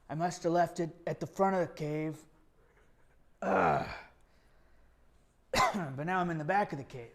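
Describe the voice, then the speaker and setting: A man performing and hosting a live improv comedy show, playful and fond of repeating a line for laughs. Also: fairly high pitch.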